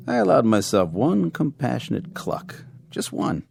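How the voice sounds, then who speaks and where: An actor playing a courteous, dryly frank family doctor in a radio drama, speaking in a measured, polite way. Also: male voice, medium pitch, very animated, creaky, a little mellow, heavily acted. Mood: wry. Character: courteous, dry, candid longtime male family doctor. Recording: radio drama with background music.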